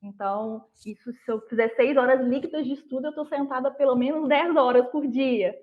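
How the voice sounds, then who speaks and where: Brazilian woman from minas, kinda high voice, explaining stuff in an interview, sounds like a narrow call line. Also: fairly quick. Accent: Brazilian, Minas Gerais. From Belo Horizonte, Brazil. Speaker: interview guest, lawyer who passed a prosecutor exam. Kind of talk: conversational interview answers.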